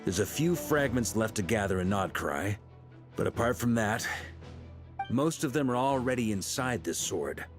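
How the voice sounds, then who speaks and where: An actor playing a seasoned, confident knight commander laying out a plan. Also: male voice, lowish voice, normal speed, lightly acted. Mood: assured. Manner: steady, assured, commanding. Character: seasoned male knight commander, calm planner. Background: game music.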